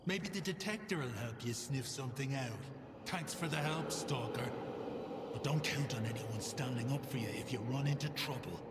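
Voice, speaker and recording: A man's voice: mid-range voice, moderate pace, very noisy, natural voice. A man playing a bitter, sardonic, foul-mouthed scavenger in a video game. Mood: grudging. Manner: sardonic, coarse. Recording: echoey reverberant space under music.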